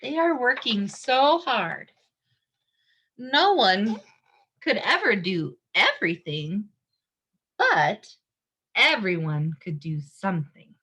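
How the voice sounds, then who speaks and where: A woman reading a picture book aloud to young children, expressively, pausing to explain things simply. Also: mid-range voice, big pitch swings, pushed and bright, husky, dull audio.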